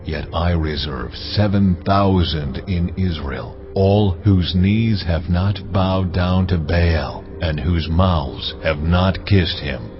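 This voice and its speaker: A stern, solemn God pronounces very slowly in a deep voice. This is an audio Bible drama, slightly lo-fi, with music clearly behind. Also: male voice. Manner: slow, weighty pronouncement. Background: clear background music.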